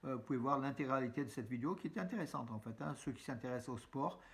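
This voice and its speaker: A man delivers a casual news roundup straight to his audience. He speaks in a chatty, halting way, full of fillers and restarts, and keeps calling his viewers friends. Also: medium pitch, a bit bright. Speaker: news vlogger. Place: room with a map on the wall behind him.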